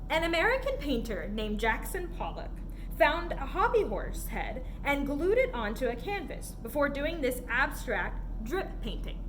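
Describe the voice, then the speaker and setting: A woman reading a children's picture book aloud as a storyteller, in an expressive, questioning way meant for young listeners. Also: sits high, background noise.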